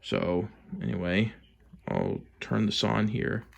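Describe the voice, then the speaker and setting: Casual, low-key American man showing a collection. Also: big pitch swings, slow pace, creaky. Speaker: hobbyist vlogger showing a collection. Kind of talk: casual show-and-tell.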